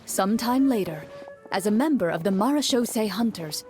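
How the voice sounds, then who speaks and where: A woman playing a composed, measured game master and storyteller in an anime game, with a calm, formal, precise manner. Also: medium pitch, close to an everyday voice. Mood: solemn storytelling. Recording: music under the voice.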